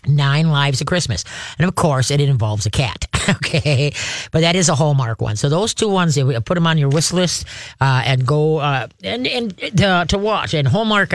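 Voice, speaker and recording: A man's voice: mid-range voice, no echo, studio quality. A man hosting a radio talk show about dogs, chatting casually and rambling, often laughing at his own remarks. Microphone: studio microphone.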